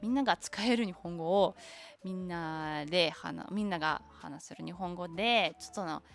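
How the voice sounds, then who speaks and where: A young woman whose first language is Tatar, chatting casually on a stream, halting and searching for words, with many fillers. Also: lowish voice, vocal fry. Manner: switches between Japanese and English. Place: lives in Japan.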